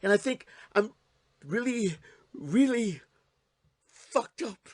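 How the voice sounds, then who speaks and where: An actor playing a troubled, wounded, anxious husband in an audio play, speaking in a halting, confessional way. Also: male voice, high-pitched, lively intonation, dry recording, clean studio sound, heavily acted. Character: troubled, wounded, anxious estranged husband, brooding and self-pitying.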